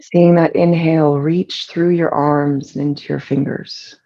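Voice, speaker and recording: A relaxed meditation guide speaks with soft, dark tone and a good deal of gravelly fry. The delivery is soothing and unhurried, leaving long pauses between phrases. The recording sounds slightly dull and lo-fi. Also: medium pitch.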